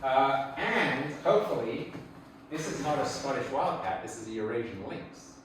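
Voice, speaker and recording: A man's voice: mid-range voice, expressive, normal speed, recorded from a distance. A middle-aged man from England with an English accent gives a conversational slide lecture in an informal, reflective manner. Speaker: speaker giving a public talk. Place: indoor talk venue before an audience. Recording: echoey room from afar, faint noise.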